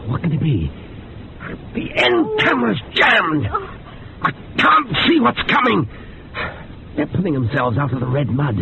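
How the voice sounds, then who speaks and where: An actor playing an obsessed, overwrought scientist in a radio horror drama, excitable, urgent and imperious as he commands his subjects. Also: fairly high pitch, unhurried, creaky, long pauses, highs cut off, background noise, exaggerated voice. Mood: frantic.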